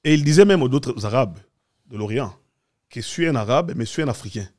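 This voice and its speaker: A man's voice: medium pitch, lively intonation, a little fast, darkish tone. A man speaking as a guest in an opinionated political discussion, assertively explaining with examples.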